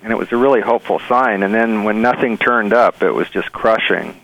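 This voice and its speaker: A man being interviewed as a bird researcher, telling stories in an earnest, enthusiastic, halting conversational way. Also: lowish voice, gravelly, fairly bright tone, dull audio.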